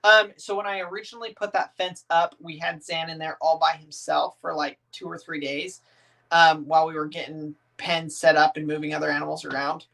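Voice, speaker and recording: A man's voice: fairly high pitch, little pitch movement, brisk, gravelly, bright, forceful tone. A man in his thirties from the northern United States, answering viewer comments in a loose, rambling, easygoing way with plenty of filler words. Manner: rambling, easygoing, many "um"s and restarts; reads viewer comments aloud and answers them. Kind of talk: casual livestream chat.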